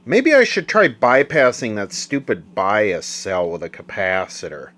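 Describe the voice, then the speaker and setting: A man's voice: fairly low pitch, huge pitch swings, a little slow, fairly bright tone, slight background noise. A man giving unscripted hands-on repair commentary, muttering short remarks as he thinks aloud. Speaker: radio repair hobbyist and video host.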